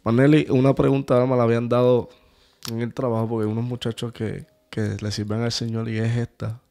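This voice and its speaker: A man's voice: mid-range voice, level tone, brisk, vocal fry, warm tone. A man hosting a faith podcast, asking questions and affirming the guest's answers with frequent amens. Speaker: podcast host.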